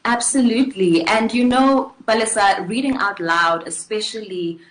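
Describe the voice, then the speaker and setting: A woman's voice: mid-range voice, moderate pace. A woman from Africa speaking as an interview guest, articulate and thoughtful. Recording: roomy, echoing remote line with noise.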